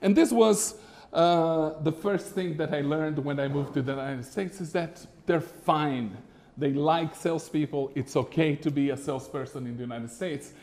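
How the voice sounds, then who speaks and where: A middle-aged man from Brazil giving a masterclass presentation to a live audience in a small room, animated and conversational with frequent fillers. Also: on the high side, moderate pace. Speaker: business consultant lecturer. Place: small lecture room with a screen.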